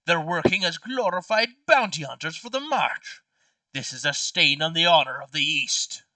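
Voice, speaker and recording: A man's voice: a little high, lively intonation, normal speed, pushed and bright, no echo, distant mic, poor audio. A man playing an aggrieved, pompous nobleman in an amateur game, pleading with theatrical formality. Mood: grave lament. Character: aggrieved pompous nobleman petitioning the king, grave and dramatic. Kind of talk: amateur role-play in a party game.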